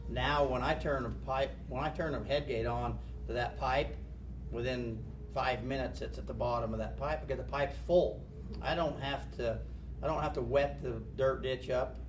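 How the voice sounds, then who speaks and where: A farmer on a panel, answering questions in a plainspoken way with many halting restarts. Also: male voice, medium pitch, fairly even intonation. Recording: distant mic, noisy room.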